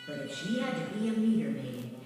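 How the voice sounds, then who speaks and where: A woman reading scripted children's storybook narration in a measured, expressive way. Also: low voice. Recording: very echoey, distant, music behind. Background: music.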